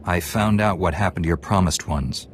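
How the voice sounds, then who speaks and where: Low, steadfast heroic male knight played by an actor. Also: normal speed, music in the background, close to an everyday voice. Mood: calm resolve. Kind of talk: video game cutscene acting.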